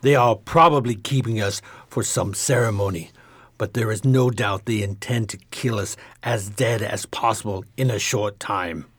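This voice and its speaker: A man's voice: lowish voice, moderate pace, husky. A man playing a genteel, brave old stage magician in a radio play, with a formal, avuncular delivery. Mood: calm, grave.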